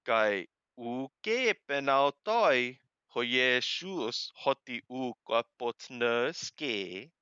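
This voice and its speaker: A man reading scripture aloud phrase by phrase and translating literally, with deliberate, halting, emphatic phrasing. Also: mid-range voice, sharp tone, clear, resonant tone, no room sound, muffled recording. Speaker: Bible reader and translator.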